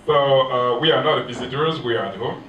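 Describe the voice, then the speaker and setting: Pastor in an echoey hall. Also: male voice, mid-range voice, muffled recording. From Haiti. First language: Haitian Creole. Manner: speaks in short phrases with pauses for translation, non-native grammar. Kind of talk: sermon delivered through an interpreter. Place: church hall. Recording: echoey church hall, mic off at a distance.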